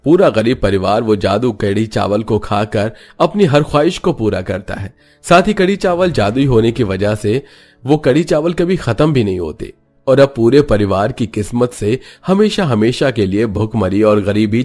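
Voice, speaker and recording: Male storyteller, lowish voice, scripted cartoon fable narration over clear background music. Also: big pitch swings, moderate pace, darkish tone.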